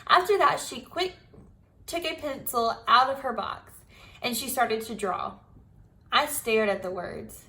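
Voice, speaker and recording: Higher-voiced woman reading aloud to children. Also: measured pace. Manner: expressive, addresses young pupils directly. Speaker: primary school teacher. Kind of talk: children's storybook read-aloud.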